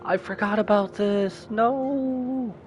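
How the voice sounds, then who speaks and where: A man's voice: high voice, slow pace, faint music behind. A man streaming a game with casual reaction commentary, informal, muttering asides and tossing out exclamations.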